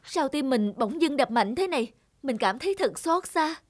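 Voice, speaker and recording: A woman voicing a gentle snowman who longs for a heart, in an animated fairy tale, speaking softly and earnestly. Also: sits high, moderate pace. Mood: anxious. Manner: soft, earnest, pleading. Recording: loud music behind.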